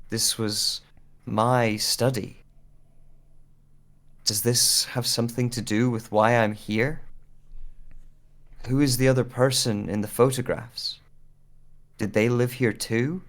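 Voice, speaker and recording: A man playing a wistful amnesiac in an audio drama monologue, speaking hesitantly and deliberately with pauses. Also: medium pitch, fairly even intonation. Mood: wistful, searching. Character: wistful amnesiac man searching a room of photographs.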